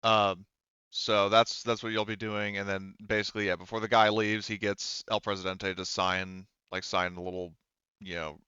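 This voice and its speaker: A man with a fairly low voice works through a tabletop game scene as its game master. He talks haltingly, composing as he goes. The recording is dry and slightly muffled. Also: little pitch movement, sharp tone, clear, resonant tone, dry recording. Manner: halting, thinking aloud. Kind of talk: narrating a tabletop roleplaying game.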